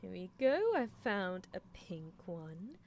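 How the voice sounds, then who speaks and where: A woman's voice: on the low side, animated, takes it slowly, a little hiss or hum. A woman playing a possessive, coolly unethical female mad scientist in a live ASMR roleplay, coaxing the listener with theatrical delivery. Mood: playful, musing. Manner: languid, theatrical, coaxing, with soft chuckles.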